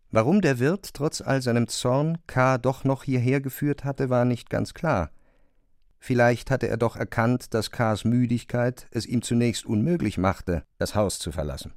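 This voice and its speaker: A man's voice: medium pitch, moderate pace, a little mellow, no echo, clean studio sound. A man reads scripted literary narration for a radio drama.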